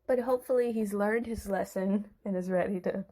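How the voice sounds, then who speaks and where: A woman's voice: mid-range voice, a little fast, mellow. A woman telling a Bible story in a theatrical, suspenseful narrating style.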